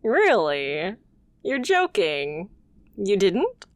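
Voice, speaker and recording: A woman's voice: mid-range voice, huge pitch swings, very slow, no echo, studio quality, exaggerated voice. A woman playing a confident, haughty supervillain boss in a scripted ASMR roleplay, speaking with teasing, condescending poise. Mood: exasperated, incredulous. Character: confident female supervillain boss, haughty yet playfully amused.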